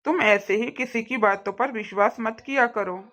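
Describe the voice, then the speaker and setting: A young cartoon husband, voiced by a woman, with a fairly high pitch. He speaks earnestly, reassuring and firm in a domestic melodrama. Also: moderate pace, a bit bright, natural voice. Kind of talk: cartoon family drama acting.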